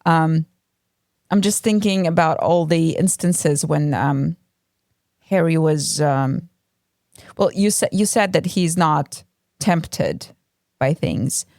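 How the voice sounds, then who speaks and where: A woman's voice: low voice, slow pace, pauses often, a little mellow, dry recording, studio quality. A woman from Russia with a Russian accent, co-hosting a casual book podcast and talking hesitantly, with many ums and restarts. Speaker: podcast co-host. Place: home room with a cat.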